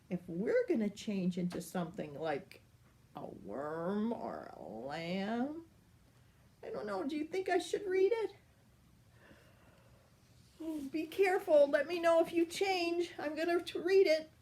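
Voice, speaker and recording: An older woman, a grandmother, reading a picture book aloud to children in a playful, animated way. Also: high-pitched, slow. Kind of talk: storybook read-aloud.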